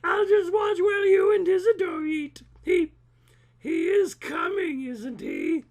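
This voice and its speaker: A man plays a wounded young girlfriend in an overblown audio story, her voice extremely high and creaky. She speaks slowly and haltingly, stretching her words with melodramatic hurt. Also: huge pitch swings, exaggerated voice. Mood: hurt, forgiving. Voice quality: shrill, creaky falsetto. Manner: halting, overwrought, drawn-out delivery.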